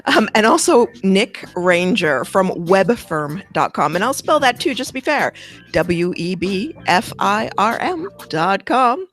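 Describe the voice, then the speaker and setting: A woman's voice: medium pitch, big pitch swings, measured pace, faint music behind. A woman with a Canadian accent, co-hosting a marketing podcast in a joking, chatty manner. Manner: joking, laughs often. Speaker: co-host. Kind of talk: casual podcast chat.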